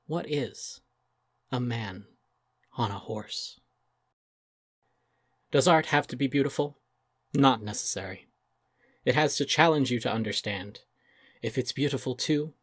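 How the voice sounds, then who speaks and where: A man voicing a pompous, self-serious male avant-garde chef in a dramatic reading, portentous and full of pauses. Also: medium pitch, no room sound, studio quality. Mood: hushed, portentous. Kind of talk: dramatic reading of an open letter.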